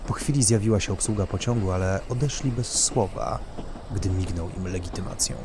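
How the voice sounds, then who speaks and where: A man's voice: deep voice, brisk, very noisy. A man narrating a scripted dramatic story as its reader.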